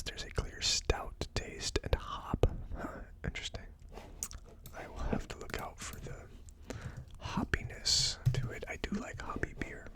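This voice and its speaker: A person whispering in an ASMR style as host of a tasting video, with a tentative, musing delivery. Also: pushed and bright. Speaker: host of a whiskey tasting series.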